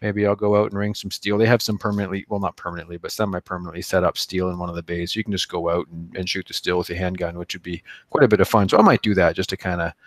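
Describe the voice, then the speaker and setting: A man's voice: deep voice, steady tone, fast talker, few pauses, dry recording. A man co-hosting a casual podcast chat, rambling and joking about himself. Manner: rambling, self-deprecating, joking. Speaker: podcast co-host.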